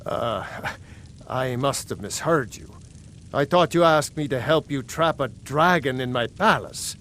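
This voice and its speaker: An actor playing a proud, wary lord of a city in a fantasy video game, speaking in a measured, earnest, commanding manner. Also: male voice, mid-range voice, a bit bright, a little hiss or hum. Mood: incredulous, courteous. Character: proud, wary male Nord jarl guarding his city. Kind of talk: video game character dialogue.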